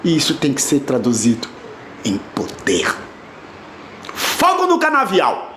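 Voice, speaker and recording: A man giving a rousing, declamatory protest speech, rising to shouts at its peaks. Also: mid-range voice, wildly swooping pitch, unhurried. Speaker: activist in a played video. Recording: roomy echo with faint noise.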